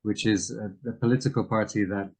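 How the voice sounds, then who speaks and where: Male interview guest, lower register, careful and halting, explains current affairs in conversation. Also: steady tone, a little mellow.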